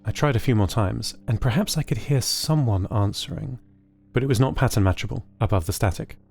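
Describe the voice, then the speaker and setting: A man playing a gentle, anxious sentient computer, narrating its story in a measured, earnest way. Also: medium pitch, animated, creaky. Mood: lonely. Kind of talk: scripted first-person audio drama narration.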